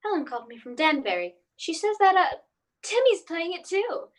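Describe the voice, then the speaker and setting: High, lively housewife played by a woman. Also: normal speed. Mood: indulgent. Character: polite, indulgent housewife and mother. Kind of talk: radio play acting.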